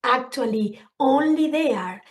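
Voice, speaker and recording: Woman, Spanish accent, non-native English, middle-aged, expressive, unhurried, slightly high voice. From Spanish-speaking country. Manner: earnest, expressive, searching for words. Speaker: interspiritual counselor, podcast guest. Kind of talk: conversational interview.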